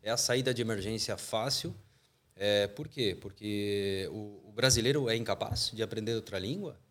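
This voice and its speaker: Low-voiced man, firm and emphatic. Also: moderate pace, fairly bright tone. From Argentina. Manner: firm, emphatic, searching for words. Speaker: football coaching association coordinator, guest. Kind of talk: podcast interview answers.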